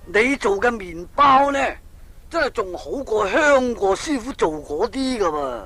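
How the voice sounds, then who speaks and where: A kindly, sentimental master baker with a Hong Kong accent in a fairy-tale radio play. His voice is high for a man and very expressive in its rise and fall. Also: measured pace, vocal fry, a crowd in the background, full character voice. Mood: affectionate, praising. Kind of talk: 1980s audio drama acting for children's storybook.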